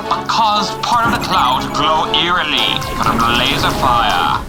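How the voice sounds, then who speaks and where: A man's voice: mid-range voice, low-quality recording. A man narrating an adventure serial in a scripted, dramatic storytelling style. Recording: loud music behind. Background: loud music.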